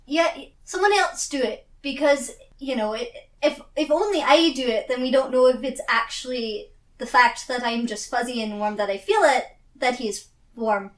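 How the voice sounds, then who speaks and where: A woman's voice: high voice, moderate pace. A giggly woman improvising as a player in a tabletop roleplaying game, often breaking into laughter. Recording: roomy, distant mic.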